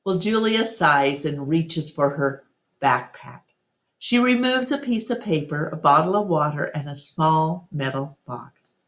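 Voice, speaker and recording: A woman reading a children's book aloud as a storytime narrator, with deliberate, expressive phrasing. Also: low voice. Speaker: storytime reader. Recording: roomy, echoey home recording.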